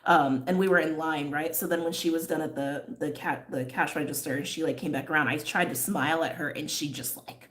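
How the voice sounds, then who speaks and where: A young woman with a slightly wheezy voice from asthma, chatting candidly on a livestream and reading out viewer comments, full of fillers and quick laughs. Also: deep voice, steady tone, fairly bright tone. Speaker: tarot reader and livestream host.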